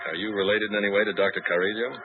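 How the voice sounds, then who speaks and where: An actor playing a cocky freelance pilot who narrates and trades wisecracks in a breezy manner, in a 1950s radio drama. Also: male voice, lowish voice, steady tone, very fast, natural voice. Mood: wry. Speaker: narrator and lead. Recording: tinny, noisy old radio broadcast.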